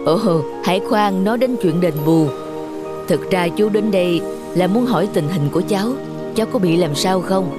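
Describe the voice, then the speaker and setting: A woman voicing a principled middle-aged father in a cartoon, measured and moralizing. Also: lowish voice, lightly acted. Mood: kindly, earnest. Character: stern, principled middle-aged father, patient teacher of responsibility. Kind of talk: animated cartoon acting. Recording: music under the voice.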